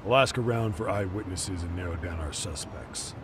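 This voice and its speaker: An actor playing a gruff, jaded, foul-mouthed middle-aged police detective in an English game dub. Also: male voice, sits low, normal speed, noisy background, natural voice. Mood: businesslike.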